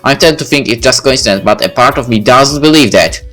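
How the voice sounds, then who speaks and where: A man's voice: mid-range voice, lively intonation, a little fast, fairly bright tone. Man narrating a story over faint music. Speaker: video creator reading a visual novel aloud. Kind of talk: first-person story narration.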